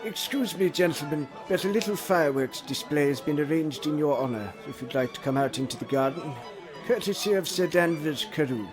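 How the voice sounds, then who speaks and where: Actor as a deferential Victorian servant, music behind. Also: male voice, on the high side, a little fast, natural voice. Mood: polite, formal. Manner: deferential, formal. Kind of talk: theatrical period audio drama acting.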